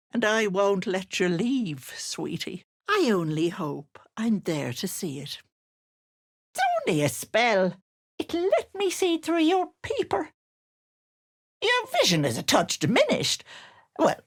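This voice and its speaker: Old hag, game villain, sugary and menacing, animated swooping pitch, unhurried, dry close sound. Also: female voice, no room sound. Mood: teasing, sly. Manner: sugary endearments with sly, mocking menace. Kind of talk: video game character acting.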